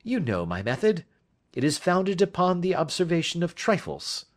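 A man's voice: a little high, sing-song, moderate pace, voice pushed up. A man reading the part of a brilliant Victorian detective, who lectures in a precise, emphatic way. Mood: lecturing.

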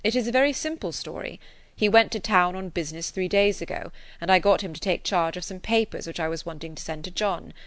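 A woman reading the lines of a grave, kindly gentleman in an audiobook, measured and earnest with a touch of gentle teasing. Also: on the low side, no echo, close to an everyday voice. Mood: explaining.

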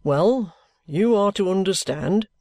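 A reader voicing a sly, genial middle-aged male detective with a cheerful, coaxing, unhurried manner. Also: mid-range voice, expressive, darkish tone, dry recording. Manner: cheerful, coaxing, leisurely drawl. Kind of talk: audiobook character reading.

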